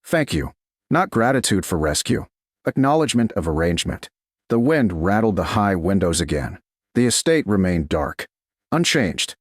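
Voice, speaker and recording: A man giving measured, dramatic scripted narration of a storybook romance. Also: fairly low pitch, no echo, studio quality.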